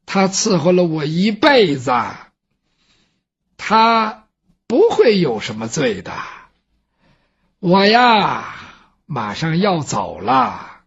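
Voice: slightly rough, muffled recording, heavily acted. 一位说书人扮演一位临终的年老太后，说话缓慢低沉、有气无力。. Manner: slow, low, faltering. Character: dying old empress dowager, weak and pleading.

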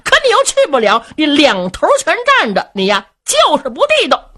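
Brief: hot-tempered bandit brother, loud, scolding, pitch leaping high, played by a woman storyteller. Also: huge pitch swings, moderate pace, husky, exaggerated voice. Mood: angry, scolding. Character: hot-tempered male sworn brother, blustering. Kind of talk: traditional storytelling.

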